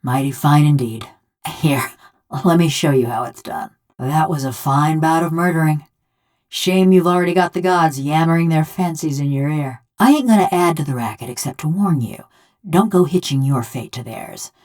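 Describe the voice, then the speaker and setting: An actress plays a metal-bodied woman from a fantasy video game, pitched low for a female voice. She talks in a folksy, countrified way with sardonic wit. Underneath sits a hard, vengeful temper. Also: normal speed, a little mellow, natural voice. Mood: wry, sardonic. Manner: folksy rustic drawl, sardonic. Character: vengeful, wry bronze construct housing a murdered village woman's soul. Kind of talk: video game character dialogue.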